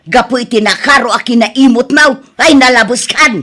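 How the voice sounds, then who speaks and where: A nagging farmer's wife in a radio drama, her voice fairly high and Ilocano-accented. She scolds and exclaims with worried exasperation. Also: moderate pace, sharp tone. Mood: scolding.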